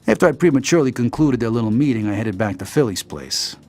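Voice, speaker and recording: An actor playing a world-weary, blunt American mobster in a gritty crime game. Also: male voice, mid-range voice, lively intonation, quick pace, darkish tone, faint music behind, close to an everyday voice. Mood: weary, reflective. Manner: tough, blunt, streetwise. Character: world-weary, hard-bitten male mobster, loyal to family. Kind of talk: gritty crime drama narration and dialogue.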